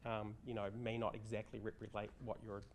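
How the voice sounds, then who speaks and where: A man, a researcher on a panel, explaining things in a brisk, matter-of-fact way. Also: lowish voice, completely flat delivery, slight background noise.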